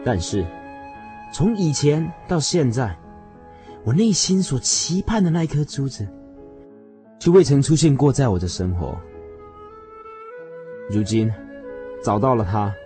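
Radio drama husband, played by a man: earnest and obsessed, highish, very animated delivery, theatrical and yearning, over music. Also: measured pace, pauses often. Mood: earnest, reflective. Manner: theatrical, swinging from hushed reflection to fervent declaration.

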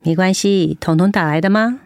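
Actress as low-voiced caring nurse, Taiwanese Mandarin. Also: female voice, normal speed, no echo, clean studio sound, close to an everyday voice. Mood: concerned. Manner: earnest, gently admonishing. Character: caring, firm older-sister nurse looking after a relative. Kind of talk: radio drama acting.

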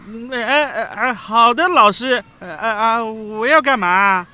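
Casting: lonely villainous frog prince, very high, lamenting and hesitant, Taiwanese Mandarin, for children's radio drama. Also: female voice, unhurried, pushed and bright. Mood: meek. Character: lonely frog prince, self-doubting former flood villain.

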